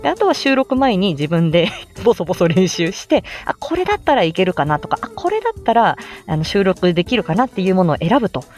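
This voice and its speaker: Female amateur voice actor as livestream host: animated, swooping pitch, friendly tutorial chat, polite and encouraging, music underneath. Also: quick pace, hardly any pauses. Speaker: amateur voice actor explaining how to make voice samples. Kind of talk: casual explanatory livestream chat. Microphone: smartphone, close.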